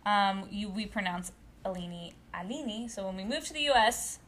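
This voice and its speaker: Bright-voiced chatty woman in her late twenties. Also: medium pitch, lively intonation, moderate pace. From Brazil. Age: late 20s. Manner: chatty, outspoken, laughs a lot. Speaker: podcast co-host. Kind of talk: casual chat.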